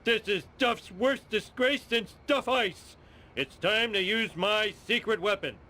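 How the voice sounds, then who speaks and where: A man playing a blustering, selfish middle-aged father in an animated comedy game. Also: high-pitched, measured pace. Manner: blustering, impatient. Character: oafish middle-aged cartoon dad, selfish and excitable.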